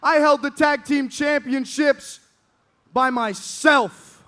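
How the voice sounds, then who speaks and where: Brief: cocky teen male wrestler, very high voice, yelling boasts in an arena. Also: steady tone, takes it slowly. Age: teens. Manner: arrogant, boastful, yelling at the crowd. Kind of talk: wrestling promo. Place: indoor arena.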